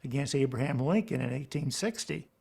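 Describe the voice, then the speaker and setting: Gravelly man in documentary interview. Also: medium pitch, animated, fairly quick, dark tone. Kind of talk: interview commentary.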